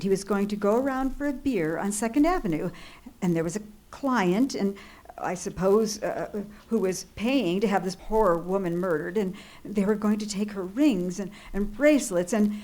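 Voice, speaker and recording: A woman's voice: mid-range voice, expressive, a little mellow, close to an everyday voice. Woman playing a flustered, imperious bedridden wife. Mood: agitated, rattled. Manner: flustered, halting, indignant, self-repeating. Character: anxious, imperious, bedridden married woman on the telephone. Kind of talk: old-time radio suspense play acting. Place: live theatre stage.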